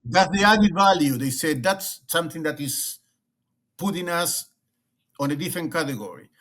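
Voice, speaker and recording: This is a middle-aged man from Argentina whose first language is Spanish, and his Argentine accent colors every line. He speaks as a guest in a remote interview with a fairly high voice, filling pauses with "eh" and "then" and repeating words as he builds his point. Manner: animated, repeats words and restarts often, uses "eh" and "then". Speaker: guest, leadership consultant. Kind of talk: conversational interview.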